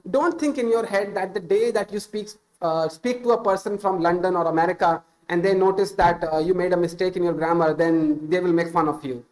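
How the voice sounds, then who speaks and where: A man's voice: sits high, steady tone, a little fast, few pauses, a little mellow, poor audio. A man in his thirties with an Indian accent, whose first language is Hindi, giving casual, unscripted English-speaking advice on a stream, earnest and full of small "uh"s and self-corrections.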